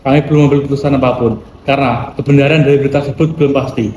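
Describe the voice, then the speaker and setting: A man's voice: mid-range voice, steady tone, very fast, a little mellow, natural voice. A man acting a prudent senior Indonesian nationalist leader in formal, amateur historical film dialogue. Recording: echoey room, distant mic, clear noise.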